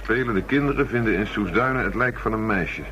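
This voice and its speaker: A man's voice: fairly low pitch, a little fast, natural voice. An actor playing a thoughtful, matter-of-fact male police chief inspector in a radio drama. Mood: businesslike. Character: male police chief inspector methodically reviewing murder cases. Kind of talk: radio drama acting, naturalistic dialogue. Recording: dull lo-fi radio recording, crowd murmur.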